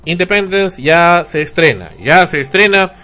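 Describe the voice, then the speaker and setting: Bright-voiced radio host. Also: male voice, high-pitched, unhurried. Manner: repeats himself, joking. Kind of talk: casual radio chat.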